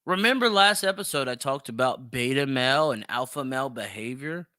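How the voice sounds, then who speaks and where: A man's voice: mid-range voice, animated, brisk, pushed and bright, no echo, studio quality. A man hosting a solo opinion podcast, speaking emphatically and repeating phrases for effect. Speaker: podcast host.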